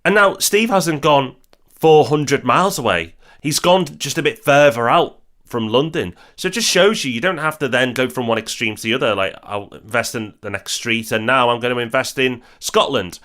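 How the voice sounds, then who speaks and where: A man's voice: medium pitch, normal speed, a bit bright. A man co-hosting a podcast, introducing guests in an upbeat, encouraging way. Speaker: podcast co-host. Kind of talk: scripted podcast narration.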